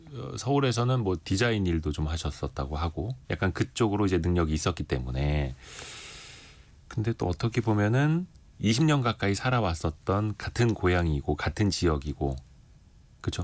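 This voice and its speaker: A man's voice: low voice, sing-song, no echo. A man hosting a radio interview show, reading market figures and chatting with a guest in a conversational manner. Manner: conversational, quick back-and-forth. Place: radio studio.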